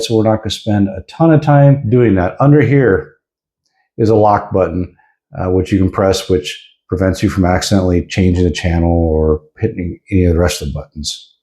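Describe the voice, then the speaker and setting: Low, mellow American man in a roomy space. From United States. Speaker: radio reviewer. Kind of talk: casual product review and demonstration. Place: indoors at a desk. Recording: roomy, echoing indoor sound.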